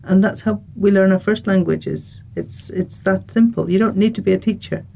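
A woman from Ireland, calmly explaining her views as a panel guest. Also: medium pitch, normal speed, creaky, a little hiss or hum. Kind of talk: conversational discussion.

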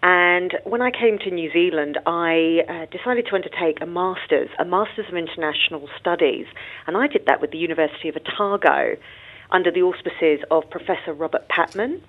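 A British woman talks through her career as a radio guest, easygoing and thorough. Her voice sits on the lower side, and it comes through a thin, phone-like line. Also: brisk. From United Kingdom. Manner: chatty and modest, laughs easily, explains at length. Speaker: guest, international space lawyer. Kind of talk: radio interview answers. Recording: tinny phone-line sound.

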